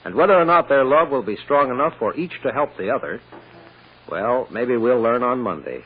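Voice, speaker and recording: A man's voice: mid-range voice, animated, moderate pace, breathy edge. An American man narrating an old radio serial as its announcer, with a folksy, dramatic delivery. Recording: tinny, narrow old radio recording.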